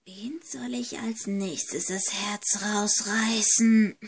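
A woman's voice: medium pitch, steady tone, extremely slow, creaky, slightly rough, pitched below the performer's own voice, full character voice. A woman voicing a menacing, villainous female villa owner in an amateur horror audio story. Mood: sinister whisper.

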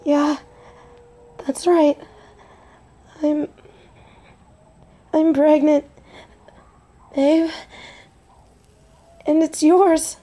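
A woman acting a soft, slow-talking young girlfriend. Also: high voice, little pitch movement. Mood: nervous, tearful. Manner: hesitant, breathy, halting delivery with whispers. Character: tender, nervous young biker girlfriend of a werewolf. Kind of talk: intimate roleplay audio drama.

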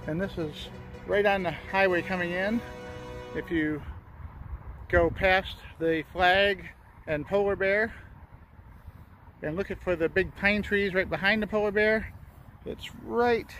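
A man's voice: high-pitched, measured pace, pauses often, fairly bright tone, soft background music, rough recording. An American man giving informal documentary narration in a folksy, reminiscing way. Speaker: local history video narrator.

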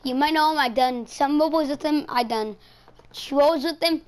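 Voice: high-pitched, moderate pace. A brash, swearing gamer hosting a video and chatting casually with friends over voice chat. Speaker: video game streamer and host.

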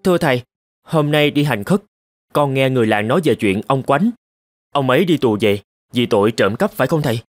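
A man's voice: medium pitch, lively intonation, a little fast, no room sound, studio quality, faint music behind, lightly acted. A man voicing a young novice monk in a cartoon folk tale, polite, earnest and deferential to his master. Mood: troubled. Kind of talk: animated folk tale dialogue.

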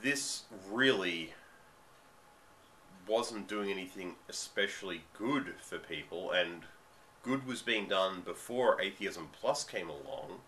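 Aussie man, 30s, low voice with a cold, emphatic webcam rant. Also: lively intonation, pushed and bright, a little hiss or hum. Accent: Australian. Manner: ranting, emphatic, drawn-out stresses. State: getting over a cold. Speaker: vlogger. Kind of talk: commentary monologue. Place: dim room at home, indoors.

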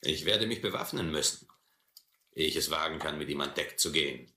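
An actor playing a domineering, mocking sea captain in an audio adventure drama, sardonic and condescending. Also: male voice, a bass voice, normal speed, pushed and bright, heavily acted. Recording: roomy old radio-play recording, faint noise.

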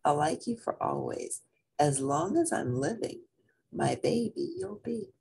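A woman's voice: sits low, wildly swooping pitch, measured pace, gravelly, darkish tone, a little hiss or hum, heavily acted. A woman voicing a tender, devoted mother in a storybook, giving a repeated lullaby to her son.

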